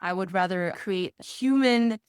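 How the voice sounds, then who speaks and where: A woman's voice: medium pitch, unhurried, clear, resonant tone, no room sound, studio quality. A woman answering interview questions conversationally, with an enthusiastic manner and frequent chuckles as she explains things. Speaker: music course student giving a testimonial.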